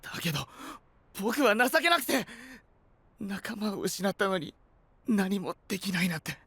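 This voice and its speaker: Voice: medium pitch, lively intonation, normal speed, fairly bright tone, slightly rough, no room sound. An actor playing a frustrated, hot-tempered young male musician in an audio drama, emotional and boyish. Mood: upset, apologetic. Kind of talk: Japanese anime-style audio drama acting.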